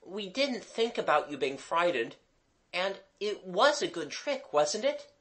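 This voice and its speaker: A narrator voicing a gentlemanly, light-hearted boy with a honeyed, reassuring manner in a storybook. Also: medium pitch, big pitch swings, measured pace. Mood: honeyed, innocent. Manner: honeyed, explaining.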